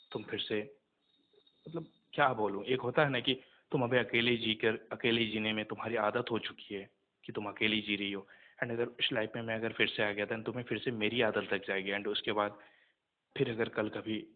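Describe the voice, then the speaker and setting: A young man talking casually on a recorded phone call, subdued and earnest, with hesitant pauses. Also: fairly low pitch.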